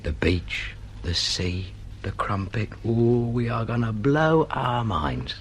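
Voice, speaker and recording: A cheerful, sly Cockney minder from a radio play, with a low voice and matey London slang. There is faint noise and ambience behind him. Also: male voice, expressive, takes it slowly. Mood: excited, boastful. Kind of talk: British radio drama acting.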